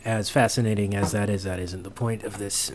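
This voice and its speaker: Brief: male tutorial host, lowish voice, casual halting walkthrough, thinking aloud, slight background noise. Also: fairly even intonation. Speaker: sound designer giving tutorial. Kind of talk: casual, unscripted software walkthrough.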